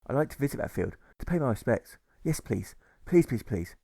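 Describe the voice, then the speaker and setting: A man reading a gentle, apologetic young pacifist with earnest, repetitive pleading in an audiobook. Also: mid-range voice, very animated, fairly quick, vocal fry, slightly rough, no echo, clean studio sound, exaggerated voice. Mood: eager.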